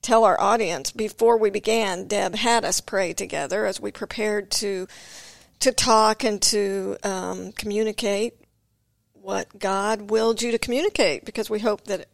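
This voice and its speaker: A woman's voice: medium pitch, fairly even intonation, measured pace, gravelly, dry recording. A woman hosting a faith podcast, asking guests questions in a chatty, rambling way with frequent restarts. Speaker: podcast host. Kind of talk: casual podcast interview.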